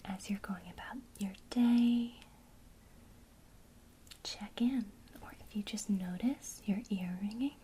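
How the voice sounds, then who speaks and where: Softly whispering woman, slow and mellow. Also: medium pitch, slight background noise. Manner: soft whisper throughout, gentle and encouraging; speaks to the listener as a student, pausing for imagined replies. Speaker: ASMR witchcraft teacher. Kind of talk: whispered ASMR lesson.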